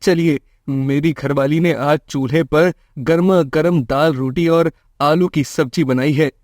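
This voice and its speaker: A man voicing a kind, selfless, poor village husband in a cartoon moral story, earnest and gentle. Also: on the high side, fairly even intonation, a little slow, no echo, studio quality, natural voice. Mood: hesitant, kind.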